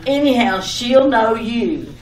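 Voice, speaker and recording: An actress playing a breezily insistent, mildly exasperated wife who coaxes and over-explains in old-time radio domestic comedy style. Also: female voice, on the high side, sing-song, takes it slowly. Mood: insistent, over-explaining. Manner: chattering, coaxing, over-explaining. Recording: echoey, distant, slightly noisy old radio recording.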